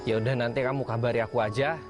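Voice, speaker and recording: An actor playing a friendly, excitable young village man in a TV crime reenactment. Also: medium pitch, quick pace, over loud music, natural voice. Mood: concerned, friendly. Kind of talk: dramatized crime reenactment acting.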